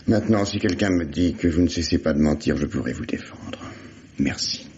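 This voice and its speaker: A man's voice: extremely low pitch, moderate pace, a little mellow, a little hiss or hum. An actor playing a wry, insistent investigator in a vintage radio mystery, sardonic and falsely fatherly as he questions a suspect. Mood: mock-sincere, wry. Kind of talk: 1950s French radio mystery acting. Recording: dull lo-fi old radio recording.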